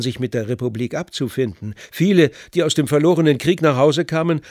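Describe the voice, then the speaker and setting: A man narrating a scripted radio documentary in a measured, articulate, essayistic way. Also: mid-range voice, darkish tone, no echo, studio quality.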